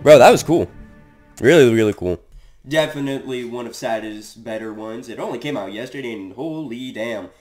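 A young man with a raspy voice hosts a reaction video from his bedroom on a headset mic, talking in a laid-back way with lots of fillers. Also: mid-range voice, moderate pace, darkish tone, faint music behind. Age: young adult. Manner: laid-back, laughs often, frequent fillers. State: raspy voice today. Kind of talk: casual reaction commentary. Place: bedroom, indoors.

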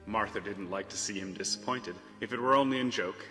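A man narrating a storybook tale theatrically, with measured phrasing and emphatic swells. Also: fairly low pitch, sharp tone. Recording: reverberant hall sound, music behind.

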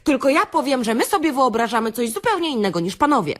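A woman playing a frank, bossy beautician in an audio play monologue, confiding and wry, with theatrical pauses and an earthy, tender streak. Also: sits high, sing-song. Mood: playful, instructing. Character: frank, bossy female beautician parodying a self-help workshop leader, tender and earthy.

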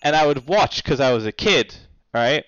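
A man in his thirties talking to his stream audience in a home kitchen, with a lively voice that swings up and down. He swears freely and keeps up a running commentary. The sound is dull and lo-fi, from a basic headset mic. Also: mid-range voice, moderate pace, clear, resonant tone, dry recording. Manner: animated, swearing, chatting to viewers. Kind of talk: casual live-stream chat.

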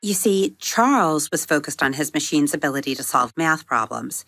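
Low-voiced woman reading a bedtime story. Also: no room sound. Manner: expressive, slowing and softening on vivid words. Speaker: storybook narrator and episode host. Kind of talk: children's bedtime story narration. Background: soft music under the reading.

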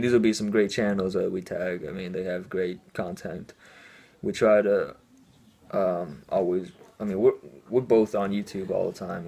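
Young guy chatting about his gym habits on camera, kinda husky, lowish voice, small room at home. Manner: relaxed, laughs often, reads out the questions. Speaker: fitness channel co-host. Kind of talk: casual chat.

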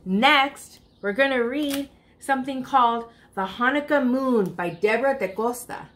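Storytime reader: American woman in her thirties, slightly high voice, expressive delivery aimed at young children. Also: unhurried, slight background noise. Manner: addresses young listeners, expressive picture-book reading. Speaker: children's storytime host at a public library. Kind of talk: read-aloud storytime for children.

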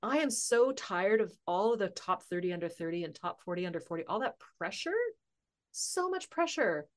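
A woman in her forties chats as a podcast guest, thinking out loud and laughing often. Her voice sits a little low and swings widely between hushed asides and bright bursts. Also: sing-song, normal speed. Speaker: podcast guest, author and coach. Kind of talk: casual interview chat.

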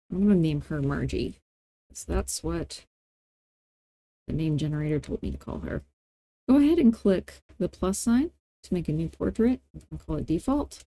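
A woman with a slightly low, mellow voice talks through an on-screen tutorial. She is informal and playful, often thinking aloud. The sound is dry and close. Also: vocal fry, dry recording. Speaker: tutorial host. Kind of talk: casual screen-recorded software tutorial.

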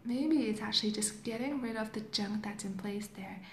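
A woman's voice: medium pitch, normal speed, roomy sound. A young woman life coach, from Hong Kong and raised in Canada, talking loosely and reflectively into a phone camera with many fillers. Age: young adult. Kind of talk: casual live video talk. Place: small plain room indoors. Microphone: phone camera at arm's length.

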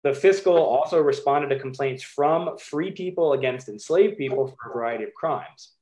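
A man in his thirties with an American accent, giving a measured, emphatic academic talk over a video call, with occasional ums. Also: medium pitch, warm tone, rough recording. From United States. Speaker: historian presenting his book.

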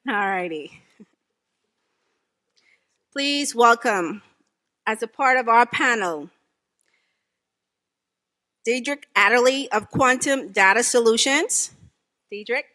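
Bright-voiced Bahamian woman moderating. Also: on the high side. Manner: polite, measured introductions. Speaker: panel facilitator. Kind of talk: formal event moderating. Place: conference stage. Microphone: podium microphone.

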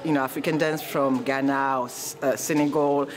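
A woman's voice: extremely low pitch, fairly even intonation, moderate pace, music in the background. Hesitant woman from Martinique. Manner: hesitant, many uh fillers. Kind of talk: casual interview. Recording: background noise.

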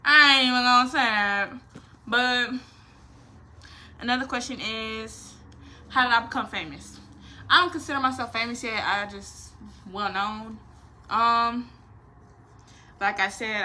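Lively, bright-voiced young woman vlogging. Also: medium pitch, slight background noise. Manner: animated, slangy, playful, expressive hand gestures. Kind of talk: casual Q&A vlog. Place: small bedroom.

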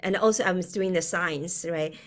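A middle-aged woman from China with a Chinese accent, explaining in a conversational, halting way as an interview guest, often with a chuckle in her words. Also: on the low side. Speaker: guest, quantum physicist. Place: indoor room, video call.

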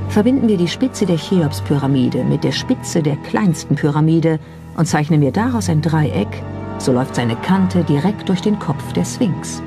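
A narrator reading a scripted documentary, presenting it as the narrator of the piece. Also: medium pitch. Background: music behind the voice.

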